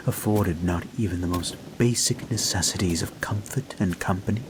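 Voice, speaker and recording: Male actor as creepy hypnotist, dark audio roleplay, deep register, deliberate hypnotic delivery, tender menace, faint background noise. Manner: slow, hushed, deliberate, poetic, hypnotic.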